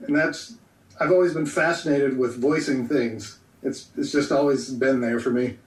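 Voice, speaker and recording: Male voice actor as guest, relaxed and reflective, heard in a roomy space away from the mic. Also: medium pitch. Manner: easygoing, thoughtful, pauses to think. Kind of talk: casual podcast interview. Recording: echoey room, distant from the mic.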